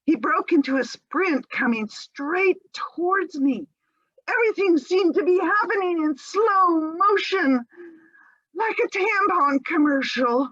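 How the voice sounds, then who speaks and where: An actress performing a dramatic monologue as a lovesick woman, earnest and breathless, with theatrical pauses. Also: very high-pitched, lively intonation, fairly bright tone, dry recording, dull audio, exaggerated voice. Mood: rapturous. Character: lovesick woman nervously reunited with her beloved dog.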